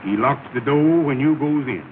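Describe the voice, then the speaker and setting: A man's voice: normal speed, lightly acted. Pompous lodge chief played by a man, mid-range, folksy dialect, grand orders; muffled vintage comedy film sound. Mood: commanding, ceremonial. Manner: declamatory, folksy ungrammatical dialect speech. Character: solemn, pompous lodge leader giving orders to his brothers. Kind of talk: 1930s comedy film acting. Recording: muffled, rumbling, noisy 1930 film soundtrack.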